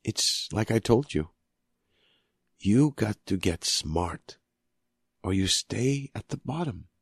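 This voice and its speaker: Man reading as menacing female villain, taunting, knowing, husky, lifted above his natural low register, storybook. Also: lively intonation, slow, no echo, studio quality, heavily acted. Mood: cool menace. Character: menacing woman taunting her captive with cool, knowing advice.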